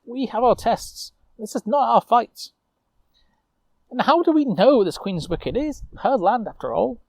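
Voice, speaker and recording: A man's voice: extremely high pitch, lively intonation, normal speed, gravelly, darkish tone, dry recording, voice pushed up, full character voice. A man voicing an earnest young knight-errant in a storytelling, polite, wary and reasoning. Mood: worried, doubtful.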